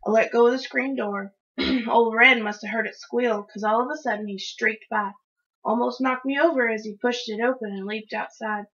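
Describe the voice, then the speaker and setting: A woman's voice: fairly high pitch, fairly even intonation, normal speed, fairly bright tone, slightly rough, dull audio. A woman reading a children's story aloud, narrating expressively in the first person. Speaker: storybook reader.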